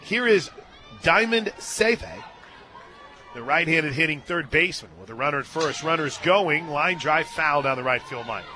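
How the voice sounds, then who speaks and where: A man doing live play-by-play softball commentary for radio from a stadium booth. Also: a little high, lively intonation, moderate pace. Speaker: play-by-play announcer. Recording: crowd noise behind. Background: ballpark crowd and music.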